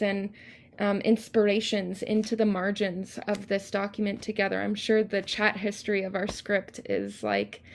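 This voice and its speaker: A woman with a distinctly creaky, mid-pitched voice facilitates an academic panel. She is measured and deliberate, opening the floor to others in an inviting way. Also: slight background noise. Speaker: panel moderator and researcher.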